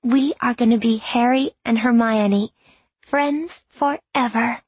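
An earnest teenage girl, voiced by a woman narrator, confiding and self-mocking as if writing in a diary. The voice is a little husky and unhurried, recorded dry in audiobook style. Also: on the high side, a little mellow, dry recording, pitched above the performer's own voice. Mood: chatty, confiding. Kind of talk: audiobook reading of diary entries.

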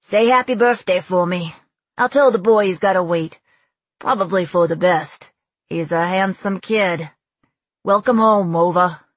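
A woman voicing a jovial, chatty Coast Guard man with a Brooklyn accent, loud and informal, in an audiobook. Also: on the low side, measured pace, slightly rough, no room sound. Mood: hearty, teasing.

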